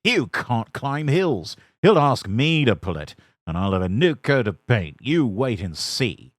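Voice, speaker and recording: A man's voice: mid-range voice, sing-song, very slow, fairly bright tone, dry recording, clean studio sound, pitched above the performer's own voice, exaggerated voice. A man voicing a vain, boastful male engine in a storybook reading, snorting and proud.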